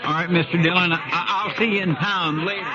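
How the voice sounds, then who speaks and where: An actor playing a folksy frontier deputy in a vintage radio drama, drawling and a little hesitant. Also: male voice, high voice, fairly even intonation, fairly quick, a loud crowd, close to an everyday voice. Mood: sly. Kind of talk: 1950s radio drama acting. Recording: dull vintage radio recording.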